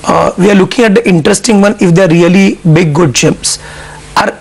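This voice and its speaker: Husky, high-voiced businessman. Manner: measured, explanatory, businesslike. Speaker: CFO guest. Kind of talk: business news interview.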